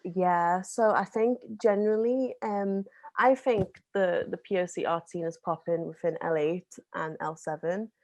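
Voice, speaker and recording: A young woman in her twenties, a guest on a podcast, chatting casually about her work. She talks loosely, full of ums, likes and restarted sentences. Her voice sits low, and the close mic gives a dry sound with no room in it. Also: fairly even intonation, measured pace, darkish tone, dry recording. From North West England. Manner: chatty, many fillers and restarts. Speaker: podcast guest, zine co-founder. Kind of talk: casual interview chat.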